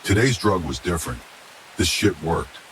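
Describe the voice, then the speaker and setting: A man narrates a first-person horror story in a low-pitched voice. It is a scripted reading, delivered as the storyteller. A clear hiss sits behind his voice. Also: expressive, normal speed, fairly bright tone, low-quality recording.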